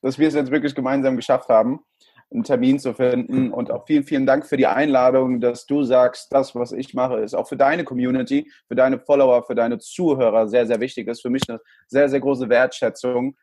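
A man's voice: medium pitch, fairly even intonation, a little fast, a little mellow, slightly rough, no echo. A man in his thirties, raised in El Salvador, chatting as an interview guest and often repeating his words. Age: 30s. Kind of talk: casual interview talk.

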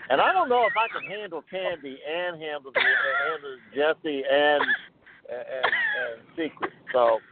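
A man's voice: fairly high pitch, wildly swooping pitch, measured pace. A man in casual chat, hesitant and flustered as he jokes along on the spot. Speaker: show participant put on the spot. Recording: tinny phone line with clear noise.

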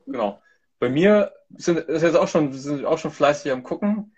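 A man's voice: on the high side, lively intonation, moderate pace, darkish tone, husky. A man from Hamburg chatting casually as a guest on a live stream, hesitant, with many restarts and fillers. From Hamburg, Germany. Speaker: content manager, guest. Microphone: video call.

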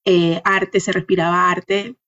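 A woman's voice: fairly low pitch, flat delivery, slow, a bit bright, dull audio. A woman from Caracas with a Venezuelan accent, answering reflectively in an interview, thoughtfully searching for words and checking in with small tag questions. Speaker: interview guest, dancer and artist.

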